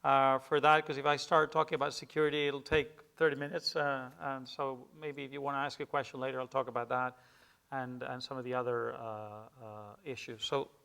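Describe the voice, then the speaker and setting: A middle-aged man from Peru, a native Spanish speaker, gives a lecture in a smooth, resonant voice at a mid pitch. He speaks with measured hesitation and often restarts his phrases. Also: flat delivery, pushed and bright. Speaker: guest lecturer. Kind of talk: public lecture. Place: lecture hall with an audience.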